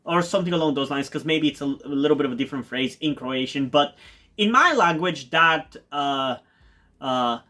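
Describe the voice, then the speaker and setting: A man's voice: fairly high pitch, normal speed, bright, forceful tone. Rambling Bulgarian man reviewing a show. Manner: rambling, many fillers and restarts; enthusiastic, repeats words for emphasis. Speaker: TV review YouTuber. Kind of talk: casual spoken TV review.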